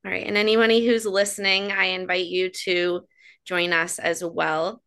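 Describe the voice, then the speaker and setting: Upbeat bright woman podcast host. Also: on the low side, vocal fry, clean tone. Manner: upbeat, slows to a calm guiding pace. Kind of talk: scripted intro then guided breathing.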